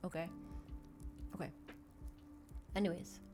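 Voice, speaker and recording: A woman's voice: lowish voice, level tone, unhurried, music in the background. A woman hosting a livestream, chatting casually with viewers in a rambling way, often repeating and restating her phrases. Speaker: VTuber livestream host.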